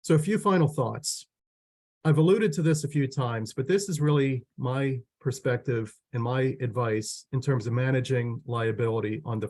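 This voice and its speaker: A man with a hoarse voice presenting a training webinar as an extension educator, moving quickly with frequent restarts and fillers. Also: mid-range voice, darkish tone.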